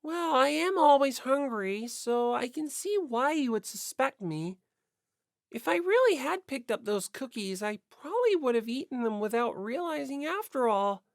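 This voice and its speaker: A woman's voice: high voice, animated, moderate pace, no room sound, studio quality, pitched above the performer's own voice. A woman voicing a cheerful, easygoing teenage schoolgirl for an audiobook, with a teasing lilt. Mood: good-natured. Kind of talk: audiobook character acting.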